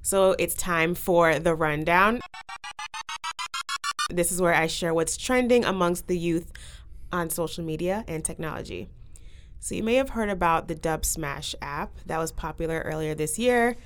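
Low-pitched young woman hosting a podcast. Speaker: podcast host. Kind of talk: casual podcast talk with guests.

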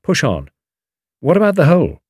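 A man's voice: a little high, wildly swooping pitch, normal speed, darkish tone, slightly rough, dry recording, studio quality, pitched above the performer's own voice, full character voice. A man voicing an uneasy but eager young British adventurer, clipped and understated, in an audiobook story. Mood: impatient, restrained.

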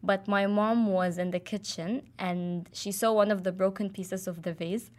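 A woman's voice: mid-range voice, fairly even intonation, normal speed. Young woman chatting away, tells her story with lots of um and uh. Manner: chatty storytelling with many fillers and restarts. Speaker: guest telling a personal story. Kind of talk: casual chat.

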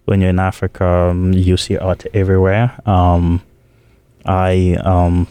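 Deep mellow-voiced congolese guy, talks slow w lots of ums. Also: flat delivery, clean tone, no echo. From eastern Congo. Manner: measured, pauses often with fillers. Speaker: guest. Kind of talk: interview conversation.

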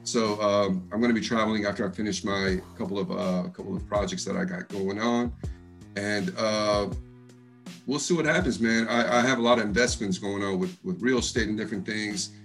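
A man from Texas with a deep voice and an American accent with an East Coast touch, chatting casually in a slangy, easygoing, jokey way. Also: brisk, fairly bright tone, soft background music. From Texas, United States. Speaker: hip hop artist, interview guest. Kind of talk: casual chat.

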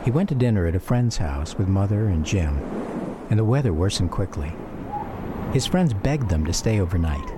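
An American man narrating a scripted radio memoir in a measured, reflective manner. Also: deep voice, animated, very noisy.

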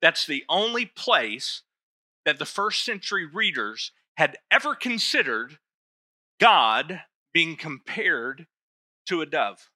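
A man's voice: on the high side, sing-song, slow pace, sharp tone, no room sound, clean studio sound. A middle-aged man preaching a sermon to his church, talking in a loose, conversational way with self-deprecating asides. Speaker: pastor.

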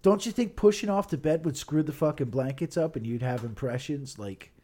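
A man's voice: on the high side, fairly quick, dark tone. A man co-hosting a podcast in casual chat, griping comically with plenty of profanity. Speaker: podcast co-host.

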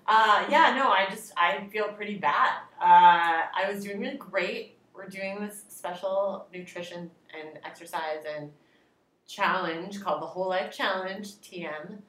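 A woman co-hosting a podcast, her voice at an ordinary pitch. She tells a long story in a rambling, self-deprecating way. The room rings with noticeable echo. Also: unhurried, fairly bright tone. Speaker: podcast co-host. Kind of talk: casual chat.

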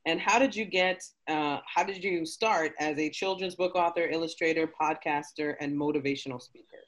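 Bubbly interview host, casual and quick to laugh, video-call sound. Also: mid-range voice, fairly bright tone. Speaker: podcast host.

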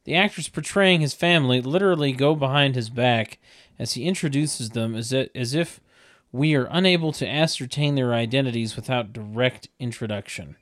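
A man's voice: mid-range voice, moderate pace, fairly bright tone. A man reading stage directions at a comedic table read, with wry, mocking asides.

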